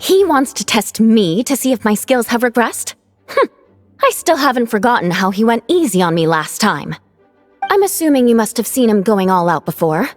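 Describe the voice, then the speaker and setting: A woman's voice: on the high side, very animated, normal speed, dry recording, faint music behind. A woman playing a proud, competitive young female fighter who talks pointedly in a video game. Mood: competitive, indignant. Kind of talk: game voice acting.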